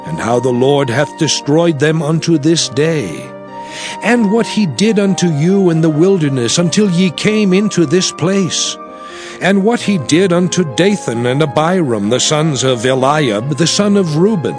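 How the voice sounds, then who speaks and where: A man playing a solemn prophet exhorting his people in a measured, declamatory scripture drama. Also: a little high, animated. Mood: solemn exhortation. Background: music.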